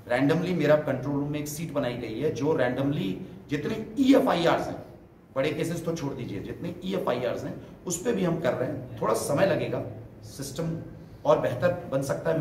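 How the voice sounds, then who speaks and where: A man speaking as a senior police officer at a press briefing, explaining emphatically with repetition and mixing in English terms. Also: mid-range voice. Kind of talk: press briefing, answering questions. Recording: roomy echo, distant mic, faint noise.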